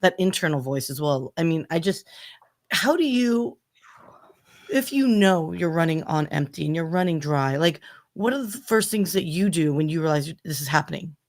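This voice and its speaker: A woman co-hosting a livestream panel with a gravelly voice, reflective as she asks long questions. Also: deep voice, lively intonation, moderate pace, darkish tone. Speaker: panel co-host.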